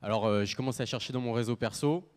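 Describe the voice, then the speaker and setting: A man's voice: mid-range voice, fast talker, a little mellow. A man in his thirties giving a live product demo on stage, joking casually and with lots of fillers. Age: 30s. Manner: jokey, casual, full of fillers. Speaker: startup pitch presenter.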